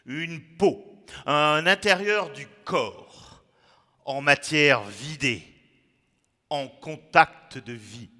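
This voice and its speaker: A man reciting his own poem theatrically, with dramatic pauses and sudden swings between hushed and loud delivery. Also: on the high side. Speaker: poet performing his own text. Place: large echoing museum gallery, indoors. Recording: reverberant hall echo.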